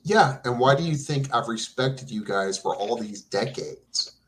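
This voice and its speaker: A man's voice: medium pitch, animated, measured pace, fairly bright tone, a little hiss or hum. An earnest man in his forties hosting a casual chat, stammering and restarting his sentences. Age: 40s.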